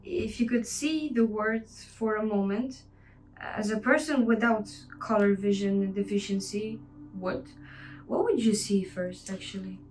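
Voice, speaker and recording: A woman interviewing a guest, asking short, quiet questions. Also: mid-range voice, measured pace, distant mic, a little hiss or hum, poor audio. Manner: brief, quiet prompts and questions.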